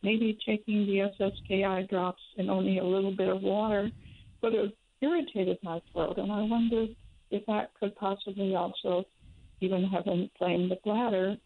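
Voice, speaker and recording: A woman calling in to describe a bladder infection, speaking carefully and haltingly, with a hoarse voice. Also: medium pitch, flat delivery, no echo. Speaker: caller. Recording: phone line.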